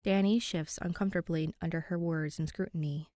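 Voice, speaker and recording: A woman's voice: on the low side, fairly even intonation, fairly quick, mellow, dry recording. Close, dry-voiced woman reading stories. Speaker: podfic reader and channel host. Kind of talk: chatty intro, then expressive fan fiction narration.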